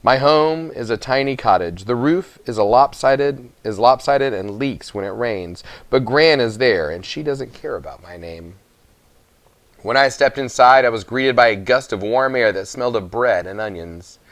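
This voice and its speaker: A man's voice: medium pitch, lively intonation, moderate pace, fairly bright tone, no echo. A man narrating a children's book expressively as a story reader.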